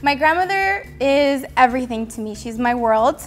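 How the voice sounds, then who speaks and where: A woman talking about family in an animated, playful way. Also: high-pitched, normal speed. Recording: faint music behind the voice.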